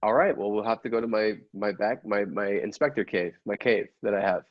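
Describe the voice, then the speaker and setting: A man plays a petulant, sheepish inspector in improvised comedy. His voice is fairly low and halting, full of defensive stammers, on a slightly dull recording. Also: lightly acted.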